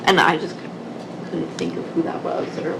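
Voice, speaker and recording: A woman's voice: lowish voice, flat delivery, normal speed, vocal fry, a crowd in the background. A woman board member asking informal questions at a public meeting in a casual way. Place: indoor meeting room. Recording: noisy room, ventilation hum.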